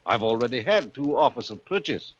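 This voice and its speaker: An actor playing a formal, businesslike family attorney in a 1940s radio drama. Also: male voice, mid-range voice, very animated, brisk, husky. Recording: muffled vintage radio broadcast.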